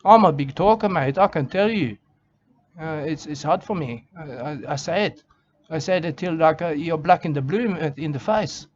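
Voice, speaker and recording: A man's voice: a little high, a little hiss or hum. A man with an Australian accent talking in a rambling comic monologue outdoors, repeating himself in broken grammar. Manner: rambling, repetitive, broken grammar, keeps saying "mate". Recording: dull lo-fi recording with faint ambience.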